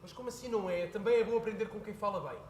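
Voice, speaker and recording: A young man playing an earnest son who pleads with his father, building to heated shouting. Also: sits high, very fast, slight background noise, natural voice. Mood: pleading. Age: young adult. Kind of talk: stage play performance. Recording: echoey hall with faint ambience.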